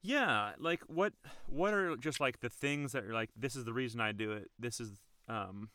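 A man's voice: mid-range voice, normal speed, bright, forceful tone, no echo. A man hosting a podcast, chatting casually and rambling with lots of "like" and "um". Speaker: podcast host. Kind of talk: casual chat.

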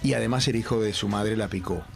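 A man on a casual panel chat, telling a story in a reflective, measured way. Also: on the low side, creaky, music in the background. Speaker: TV presenter guest.

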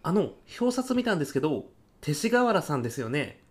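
A man's voice: a little high, very animated, brisk. A man acting as an earnest, overzealous young salesman who moonlights as a food courier in a comic radio drama, speaking in eager, casual-polite salaryman style. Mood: brisk, polite.

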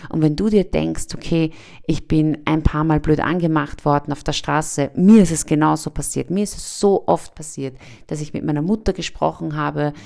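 A woman's voice: low-pitched, fairly even intonation, normal speed, vocal fry, warm tone. A young woman, raised in Austria after being born in Iran, hosting a podcast and giving an earnest motivational talk aimed straight at the listener. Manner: earnest, speaks directly to the listener. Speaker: podcast host.

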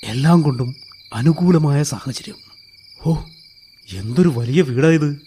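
A man's voice: a little high, takes it slowly, mellow, slightly rough. An actor playing a nervous burglar in a radio play, muttering to himself as he sneaks through a house, then pleading when caught.

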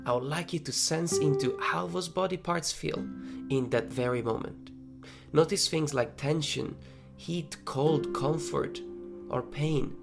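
A man narrating a guided running meditation as a coach, giving gentle instructions, with plucked guitar behind him. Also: medium pitch, gravelly. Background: plucked guitar music.

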